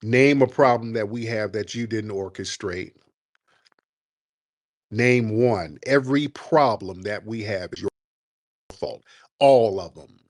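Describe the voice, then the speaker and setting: A man's voice: fairly low pitch, takes it slowly, pauses often, no room sound. Male radio host, dry close sound, argues hard, cuts callers off. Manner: combative, interrupting, lecturing. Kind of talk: call-in radio show.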